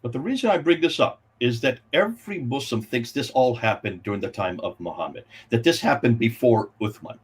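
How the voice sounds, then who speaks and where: A man with a husky, mid-pitched voice argues as a guest speaker in a religious discussion. His delivery is insistent, swinging widely in pitch for emphasis. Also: lively intonation, a little fast, few pauses. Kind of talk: argumentative religious debate talk.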